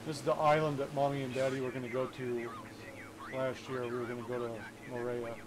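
Over a clear drone of game engine noise, a man in his thirties talks in a laid-back, slangy way. His pitch is middling and he sounds like a casual streamer playing from home. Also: little pitch movement, brisk. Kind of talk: casual livestream chat while playing a flight simulator. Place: home room.

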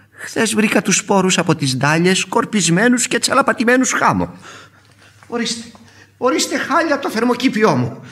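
An actor playing a fussy, pompous uncle in a radio play, scolding the household indignantly as a proud gardener. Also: male voice, sits high, fairly bright tone. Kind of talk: Greek radio theatre acting.

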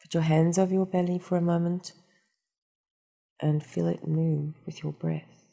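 A woman leading a guided meditation, speaking in a calm, soothing and unhurried instructional manner. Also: fairly low pitch, creaky.

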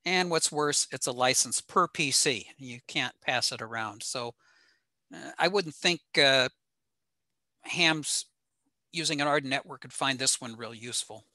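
A man's voice: medium pitch, unhurried, bright, forceful tone, no echo. A man presenting technical material informally with slides over a video call, in a plain-spoken, matter-of-fact manner. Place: indoor home room, over a video call.

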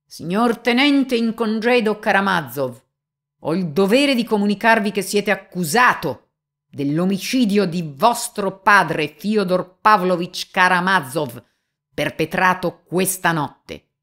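A woman's voice: extremely low pitch, darkish tone. A woman voicing a small, young examining magistrate who speaks formally and in a hurry. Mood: stern. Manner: hurried and flustered, then stern and declaiming.

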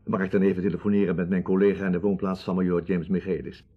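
A man's voice: low-pitched, level tone, quick pace, breathy edge, phone-quality sound. An actor playing a courteous, fatherly police commissioner in a Dutch radio drama. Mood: courteous, concerned.

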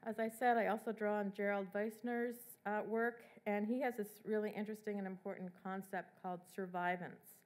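Woman giving a measured academic lecture. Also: mid-range voice, fairly even intonation. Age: middle-aged. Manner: measured, with fillers and restarts. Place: lecture hall at a podium.

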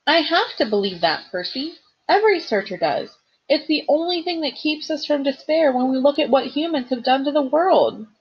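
A woman's voice: high-pitched, expressive, normal speed, highs cut off, pitched above the performer's own voice. A woman voicing a plaintive young satyr. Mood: somber. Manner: earnest, plaintive, emphatic. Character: earnest young male satyr, gentle and worried.

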